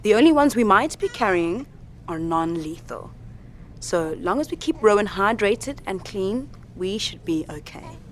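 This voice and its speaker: A woman plays a practical survivor medic in an audio drama, with a mid-pitched voice. She explains in a measured, reassuring manner. There is faint noise behind her. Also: expressive, close to an everyday voice.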